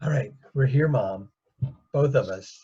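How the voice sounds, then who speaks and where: Man voicing a pleading wife, husky tone, dull lo-fi sound. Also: mid-range voice, unhurried, dark tone. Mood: exasperated. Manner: pleading, exasperated. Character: exasperated wife Janice, defensive of her mom.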